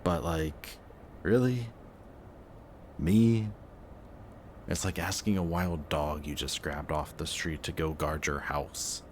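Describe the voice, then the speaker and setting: A man playing a sardonic, gruff but protective antihero villain in an intense, emotional audio roleplay. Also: rumbling low, steady tone, slow pace, long pauses, slight background noise. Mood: sardonic, teasing.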